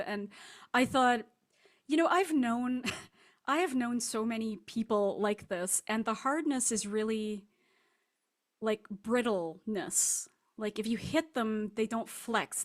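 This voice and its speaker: A woman speaking as an author guest in a casual, reflective interview, animated and thinking aloud as she explains her writing. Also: fairly high pitch. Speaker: author guest discussing her short story.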